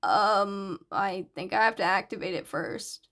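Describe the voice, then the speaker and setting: A shy teenage schoolboy, voiced by a woman for an audio story. He speaks in a lowered, subdued register and sounds hesitant and soft-spoken. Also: unhurried. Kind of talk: audiobook character dialogue.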